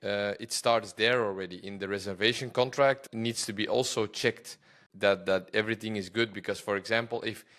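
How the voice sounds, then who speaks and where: Belgian guy hosting a podcast, dutch accent, kinda low voice, lots of uhs and restarts. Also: steady tone, a little fast, a bit bright. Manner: hesitant, many fillers and restarts, non-native phrasing. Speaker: podcast host. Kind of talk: podcast interview, casual chat.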